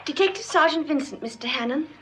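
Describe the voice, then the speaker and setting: An actress playing a polite, efficient, deferential newspaper secretary in a radio drama. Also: female voice, high voice, expressive, fast, very noisy. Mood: polite, obliging. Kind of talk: old South African radio drama acting.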